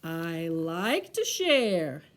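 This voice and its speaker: A woman voicing a big, muddled, good-natured male bear in a children's storybook, with a simple, earnest, drawn-out delivery. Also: high-pitched, big pitch swings, darkish tone, voice pushed up, full character voice. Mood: contented.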